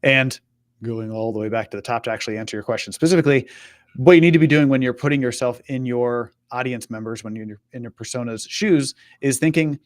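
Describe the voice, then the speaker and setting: A man's voice: medium pitch, no room sound. A man presenting on a live web show, talking through an on-screen walkthrough in an informal, conversational way and acting out example questions. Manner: informal, voices imagined customer questions as examples.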